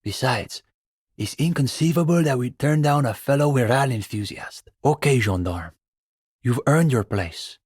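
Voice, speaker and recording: Young bookish marxist student in a game, earnest n pedantic, bit of husk in the voice, mid pitch. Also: male voice, lively intonation, measured pace, a little mellow, husky, dry recording, clean studio sound. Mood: earnest, assured. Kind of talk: video game dialogue acting.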